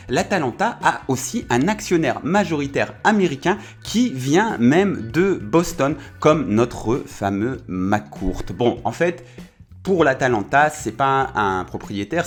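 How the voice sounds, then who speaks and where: A man hosting a casual football video, talking in a chatty way with many fillers and self-corrections. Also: medium pitch.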